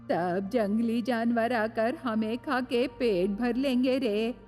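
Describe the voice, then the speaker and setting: Woman voicing a wistful old village grandmother, slow-spoken. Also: on the high side, a little mellow, big-room sound, soft background music, pitched below the performer's own voice. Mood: wistful, fond. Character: elderly village grandmother, wistful and dreamy, easily frightened.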